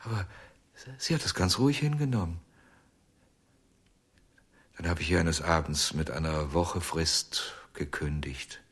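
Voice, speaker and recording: An actor playing a haunted, obsessive ventriloquist in a German radio drama, speaking hesitantly with many pauses. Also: male voice, deep voice, fairly bright tone, slightly rough, exaggerated voice. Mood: remorseful. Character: haunted, obsessive male ventriloquist, middle-aged. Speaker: ventriloquist under questioning.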